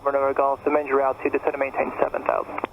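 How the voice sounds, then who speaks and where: A male air traffic controller talks fast in a higher, very flat voice. His clipped instructions come through a tinny aircraft radio. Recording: tinny narrow radio with clear noise.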